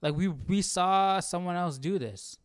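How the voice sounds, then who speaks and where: A man's voice: sits high, measured pace, clear, resonant tone, dry recording, studio quality. A man hosting a Bible study livestream, casually thinking aloud in a questioning, hesitant way.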